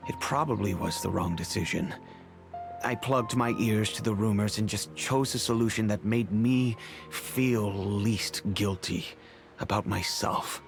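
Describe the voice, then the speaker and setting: An actor playing a fatherly middle-aged merchant who speaks in a measured, reflective, remorseful way, over faint music. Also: lowish voice, creaky. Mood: thoughtful. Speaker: wealthy patron and father figure. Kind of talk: video game voice acting. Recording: game audio with faint music.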